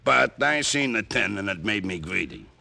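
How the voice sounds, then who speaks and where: An actor playing a tough, greedy American bartender with a gravelly voice and blunt street slang, in an old-time radio detective drama. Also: male voice, fairly low pitch, lively intonation, moderate pace, sharp tone, slight background noise, exaggerated voice. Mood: grudging. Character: tough, wary, greedy male bartender in a seedy dive.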